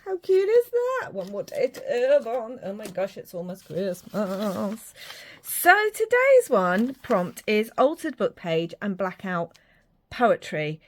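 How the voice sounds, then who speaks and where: A woman's voice: mid-range voice, sing-song, slow pace. A woman from Ireland, talking casually to her viewers while she works on a craft project, rambling a little and poking fun at her own drawing skills. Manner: chatty, self-deprecating, rambling with fillers. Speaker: crafting vlogger. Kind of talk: casual craft tutorial chat.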